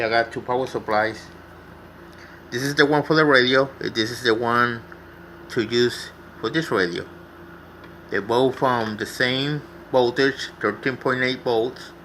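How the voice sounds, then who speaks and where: A man's voice: mid-range voice, a bit bright, slight background noise. A man giving a casual, unscripted hands-on tutorial, explaining step by step and repeating himself as he goes. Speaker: hobbyist demonstrating CB radio repair.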